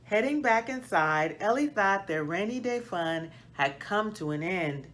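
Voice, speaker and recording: A middle-aged woman hosting a children's read-aloud, reading storybook style with deliberate, expressive phrasing. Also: medium pitch. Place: small plain indoor room.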